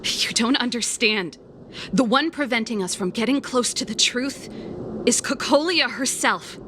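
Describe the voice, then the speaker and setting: Actress as a high-voiced, defiant young game heroine. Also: female voice, unhurried, noisy background, natural voice. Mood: urgent pleading. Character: defiant, loyal young woman rebel, an older sister. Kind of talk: video game cutscene voice acting.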